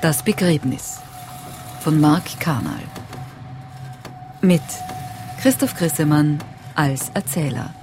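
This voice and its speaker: A woman's voice: sits low, expressive, slow, long pauses. A woman announcing the title and cast of a radio play in a scripted manner. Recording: clear background noise, traffic-like ambience.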